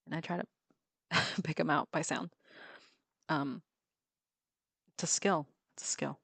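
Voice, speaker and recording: Woman, casual livestream chat, slightly low voice, laughs a lot, lots of ums, dry close sound. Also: fairly even intonation, unhurried, gravelly, fairly bright tone, slightly rough, no room sound. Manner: chatty, laughs often, many ums and restarts. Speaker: livestreamer drawing on a tablet. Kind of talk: casual chat while drawing.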